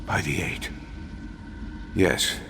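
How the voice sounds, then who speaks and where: An actor playing a grave, remorseful undead imperial battle mage in a video game, speaking in a formal, measured, solemn way. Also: male voice. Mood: sorrowful, hesitant. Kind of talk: dramatic video game dialogue. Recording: long hollow reverb, faint background noise.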